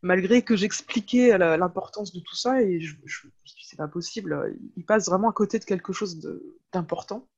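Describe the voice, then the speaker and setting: Female podcast guest, conversational and chatty, hesitant phrasing, medium pitch, dull low-grade recording. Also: moderate pace, darkish tone, husky. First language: French. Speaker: podcast guest, web entrepreneur. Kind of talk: casual interview, personal storytelling.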